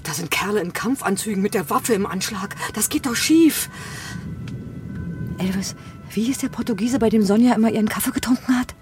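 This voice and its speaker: Eine Frau spielt in einem Hörspiel eine besorgte Kollegin, die eindringlich spricht. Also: female voice, medium pitch, fairly quick, lightly acted. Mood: anxious. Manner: urgent, concerned. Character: worried female colleague pleading for a friend. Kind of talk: radio drama acting. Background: music.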